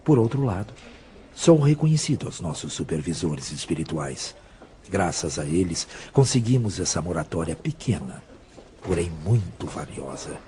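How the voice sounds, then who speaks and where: An actor playing a wise, humble spirit mentor in an audio drama, speaking gently and with measured, instructive patience. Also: male voice, low-pitched, sing-song, a little hiss or hum. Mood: solemn, consoling.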